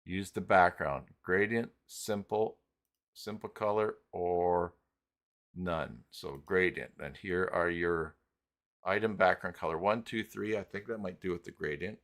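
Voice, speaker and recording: A man hosting an unscripted live software tutorial, talking haltingly as he thinks aloud while working. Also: low-pitched, steady tone. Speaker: host of live tutorial stream.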